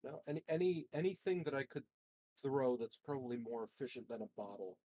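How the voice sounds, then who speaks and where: A man's voice: medium pitch, lively intonation, a little fast, warm tone, no echo, muffled recording. A man chatting casually as a player in a tabletop game. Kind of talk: casual chat.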